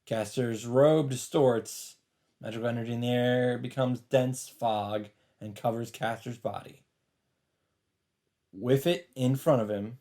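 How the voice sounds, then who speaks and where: A man's voice: mid-range voice, fairly even intonation, unhurried, warm tone. A man doing a let's play, reading visual novel narration aloud with casual, sometimes sweary commentary. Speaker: let's player.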